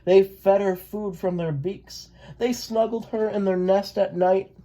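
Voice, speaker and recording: A person reading a children's picture book aloud in a gentle, expressive way. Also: medium pitch, a little slow. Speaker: read-aloud storyteller.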